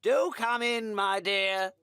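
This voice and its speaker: A wolf pretending to be a sweet old granny, as a woman narrator puts it on, croaks slowly in a storybook tale. The voice is crackly and drawn out, recorded dry. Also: medium pitch, a bit bright, no room sound. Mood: falsely sweet. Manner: slow, drawn-out. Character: wolf posing as a kindly old grandmother.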